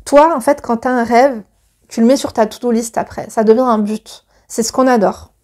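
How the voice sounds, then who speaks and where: A woman's voice: fairly high pitch, fairly even intonation, normal speed, a little mellow. A woman in her thirties chatting to her viewers and reading a letter aloud, gushing and full of fillers and restarts.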